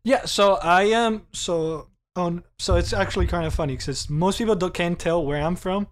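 A man's voice: high-pitched, moderate pace. A man in his twenties who grew up in Cuba, talking casually and animatedly, often laughing and restarting his sentences. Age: 20s. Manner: animated, laughs often, repeats words when starting sentences. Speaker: podcast guest. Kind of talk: casual conversation.

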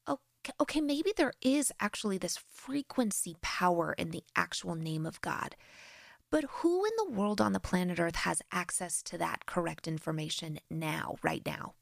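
An American woman hosting a faith podcast, talking directly and earnestly with lively emphasis. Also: on the low side, moderate pace, vocal fry, no echo, studio quality. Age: adult with grown-past-baby children. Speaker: podcast host. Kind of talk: solo faith podcast talk.

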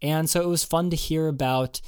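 A man's voice: fairly high pitch, steady tone, normal speed, smooth, no echo, studio quality. A man hosting a podcast, introducing his guest in a loose, casual way full of fillers. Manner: casual, hedging with many fillers. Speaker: podcast host.

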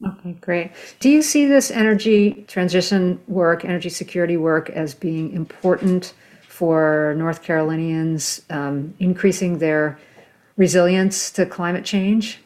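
A woman's voice: fairly low pitch, unhurried, a little mellow. A woman hosting a conversational interview. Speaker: interviewer, host.

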